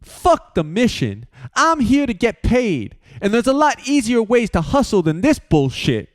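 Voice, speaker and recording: A man voicing a crude, angry male hustler who swears and shouts in an audiobook reading. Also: high voice, lively intonation, normal speed, no echo, clean studio sound, voice pushed up. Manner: profane, shouting.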